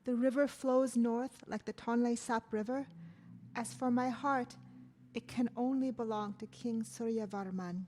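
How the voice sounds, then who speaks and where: A woman voicing a queen divided between two loves, speaking in a high, bright register. She recites her lines in a poetic way, at an unhurried pace. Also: steady tone, slight background noise, close to an everyday voice. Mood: solemn. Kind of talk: table read of a stage play.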